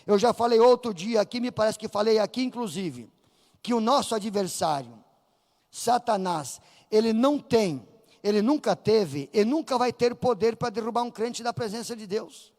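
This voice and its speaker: A man with a Brazilian Portuguese accent preaching a Pentecostal sermon in church, fervent and rising to shouts as he acts out voices from the story. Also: high voice, lively intonation, moderate pace. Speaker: pastor. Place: church sanctuary. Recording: reverberant church hall.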